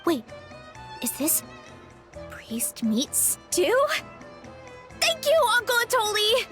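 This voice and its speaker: A woman's voice: very high voice, huge pitch swings, slow pace, music in the background, heavily acted. A woman voicing a sweet, dreamy, easily distracted young fighter with a big appetite in a video game. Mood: delighted.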